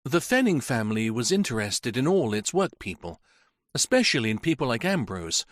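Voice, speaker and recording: A man's voice: mid-range voice, very animated, moderate pace, dry recording, studio quality, voice pushed up, lightly acted. A man voicing a frightened, evasive woman under police questioning, tired and plaintive, stammering as she speaks. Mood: defensive. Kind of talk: audiobook character voice.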